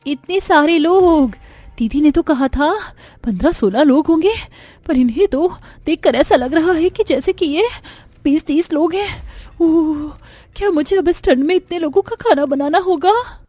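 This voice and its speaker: High-pitched, whining young bride. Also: female voice, a little slow, mellow, no echo. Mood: dismayed. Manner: plaintive, dramatic complaining. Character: anxious, whining young new daughter-in-law. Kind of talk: Hindi cartoon family-drama voice acting.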